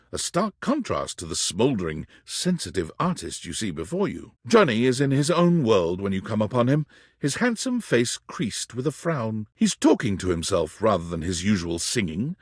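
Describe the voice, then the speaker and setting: Wry husky male game narrator. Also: mid-range voice, no room sound, clean studio sound. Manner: wry, measured storytelling. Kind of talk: second-person game narration.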